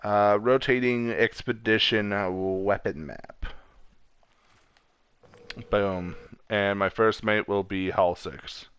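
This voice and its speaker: A man's voice: fairly low pitch, slow, pauses often, a bit bright, clear, resonant tone, no echo. A man streaming a game, talking in an informal, playful way with casual commentary. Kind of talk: casual gaming chat and commentary.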